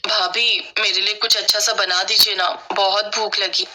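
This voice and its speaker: Woman voicing a whiny, selfish city sister-in-law, very bright. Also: mid-range voice, flat delivery, measured pace. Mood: sugary, wheedling. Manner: wheedling, self-serving.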